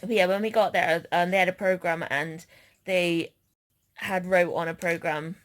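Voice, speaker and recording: A woman's voice: fairly low pitch, steady tone, normal speed. A woman vlogger chatting casually as she recounts an outing.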